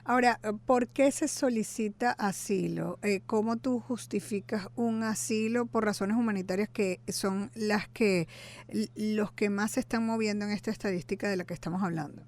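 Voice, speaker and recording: A woman's voice: medium pitch, normal speed, a little mellow. A woman hosting a radio interview, asking questions and backing the guest with short acknowledgements. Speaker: radio show host, interviewer.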